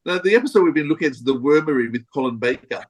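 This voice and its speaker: Higher-voiced man hosting an interview. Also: lively intonation, racing, slightly rough. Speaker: interviewer, podcast host. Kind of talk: casual interview.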